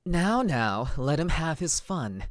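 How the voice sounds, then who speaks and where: A woman's voice: low-pitched, very animated, takes it slowly, dry recording, clean studio sound, full character voice. A woman voicing a casual, teasing anime youth in a comic dub, laid-back and wry. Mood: amused.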